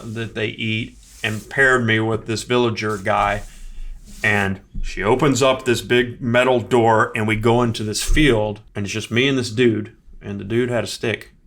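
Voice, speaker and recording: Male podcast guest, low voice, animated conversational storyteller. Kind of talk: casual storytelling.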